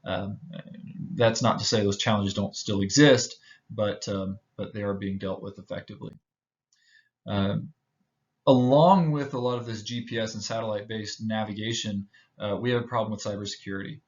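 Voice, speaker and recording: An American man from Houston giving an informal slide presentation, speaking hesitantly with many filled pauses. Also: fairly low pitch, fairly even intonation, lo-fi sound. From Houston, United States. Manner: halting, hesitant delivery with frequent filled pauses. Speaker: presenter, pilot.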